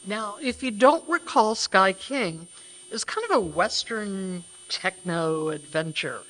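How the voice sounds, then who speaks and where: A woman with a medium-pitched voice speaks as co-presenter at a live stage event. Her delivery is semi-scripted yet conversational, explaining things to the audience. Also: expressive, takes it slowly, fairly bright tone, low-quality recording. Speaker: co-presenter of a radio re-creation troupe.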